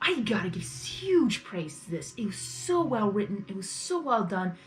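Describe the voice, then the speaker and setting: A woman's voice: mid-range voice, sing-song, moderate pace, soft background music. A woman giving casual live reaction commentary as a video host, effusive and rambling, often repeating her phrases.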